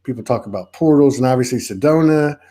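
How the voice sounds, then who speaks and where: A man's voice: medium pitch, mellow. Rambling Texan man on a video call. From Texas. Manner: rambling, halting, many restarts. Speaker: podcast host. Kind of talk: casual spiritual interview chat.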